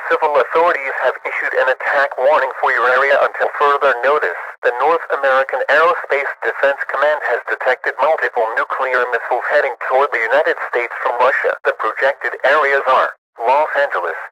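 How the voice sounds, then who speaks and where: Bright, forceful male emergency alert announcer. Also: mid-range voice, fairly even intonation, a little fast, few pauses, lo-fi sound. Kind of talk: formal scripted emergency broadcast.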